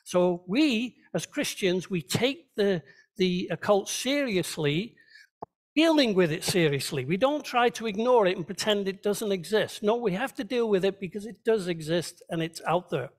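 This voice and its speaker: A man's voice: sits high, animated, normal speed. A man preaching a sermon as a pastor, teaching conversationally and checking in with his listeners. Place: church, speaking to a congregation.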